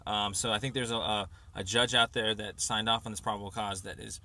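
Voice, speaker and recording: A man leaving an informal, rambling voicemail over the phone, speaking haltingly as he pieces his thoughts together. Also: on the low side, fairly even intonation, bright, forceful tone. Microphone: telephone.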